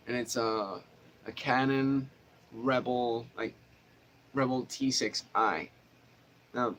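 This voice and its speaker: A man's voice: medium pitch, a bit bright. A man with a nasal voice chatting casually with viewers from a hot home studio, rambling with lots of fillers and restarts. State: sweaty in a hot room. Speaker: guitar teacher answering viewer questions. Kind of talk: casual livestream chat. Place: small home studio room, no air conditioning, fan running. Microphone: camera microphone. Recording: faint background noise from a fan.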